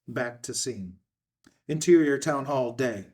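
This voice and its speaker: A man with a medium-pitched voice reads out stage directions as a narrator. It is scripted screenplay narration, picked up from some way off the microphone. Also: takes it slowly, darkish tone. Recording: distant microphone.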